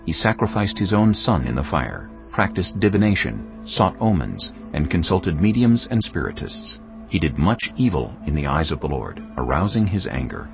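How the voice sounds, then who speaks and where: A man narrating scripture in a measured, solemn storytelling voice. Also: low voice, big pitch swings, gravelly. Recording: dull lo-fi audio over faint music.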